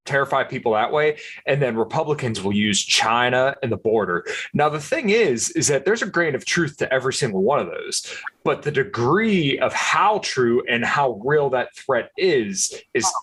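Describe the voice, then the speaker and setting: A musician from Pennsylvania with a Pittsburgh accent, ranting about politics in a casual video-call chat. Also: male voice, medium pitch, sing-song, moderate pace, fairly bright tone, husky. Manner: ranting, builds points with "right?". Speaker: musician guest.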